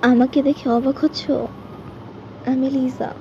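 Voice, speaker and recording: A woman acts out a chatty young cousin in an audio story, gushing and teasing. Her voice is high and soft-toned. The recording is slightly dull with music behind. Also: little pitch movement, takes it slowly. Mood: teasing, admiring. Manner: playful, gushing, exclamatory.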